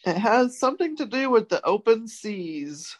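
A woman's voice: fairly high pitch, sing-song, normal speed. A woman hosting a casual word game, reading out obscure words and spelling them letter by letter. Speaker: quiz host giving obscure words.

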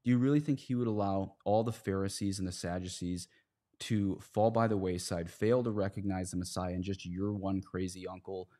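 A man with a fairly low voice hosts a conversational podcast. He argues his points emphatically and rhetorically, voicing imagined speakers as he goes. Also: fairly even intonation, moderate pace, mellow. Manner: emphatic, rhetorical, acts out quotes. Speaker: podcast host. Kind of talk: conversational podcast discussion, argumentative.

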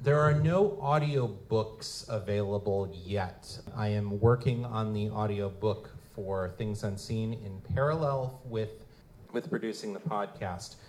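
A man's voice: lowish voice, a little slow, darkish tone. A man speaking casually as host and producer, answering questions at a live event. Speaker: host, audio drama producer and writer. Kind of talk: casual Q&A talk with an audience. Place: convention room at a live event. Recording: live event room with background noise.

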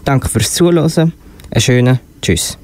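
A man's voice: mid-range voice, normal speed, a little mellow, dry recording. A young-sounding male narrator with a Swiss German accent, reading a scripted children's story. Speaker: narrator and presenter of a school radio play. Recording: slightly noisy amateur recording with clicks.

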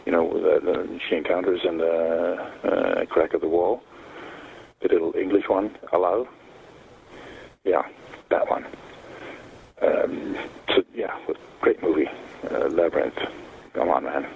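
A man calling in from outdoors on his balcony, rambling and halting with many fillers. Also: low-pitched, steady tone, gravelly. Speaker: caller, listener. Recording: tinny phone line with faint background noise.

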